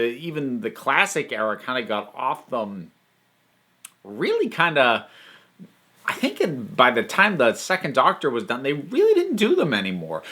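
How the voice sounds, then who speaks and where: Male review host, higher-pitched voice, lively and emphatic, casual delivery. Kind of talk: casual review monologue to an audience.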